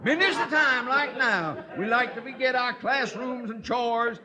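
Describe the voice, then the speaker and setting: Hearty high hillbilly principal, folksy n jolly, 1950s radio comedy, loud audience. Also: male voice, unhurried, crowd noise. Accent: exaggerated American hillbilly. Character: fat, jovial hillbilly school principal, banjo-playing bumpkin.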